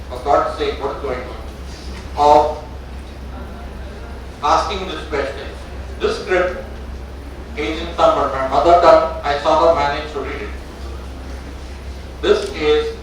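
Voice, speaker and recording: A man's voice: on the high side, a bit bright. Older Indian man lecturing in an echoey hall. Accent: Indian English. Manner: joking, conversational storytelling. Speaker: doctor giving a memorial lecture. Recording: echoey hall, faint noise, from far off.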